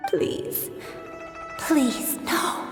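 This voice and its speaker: A woman voicing a gentle, sorrowful grandmother who is secretly a crane spirit, tender and solemn in a dramatic video game. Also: very slow, over music. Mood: pleading, grieving.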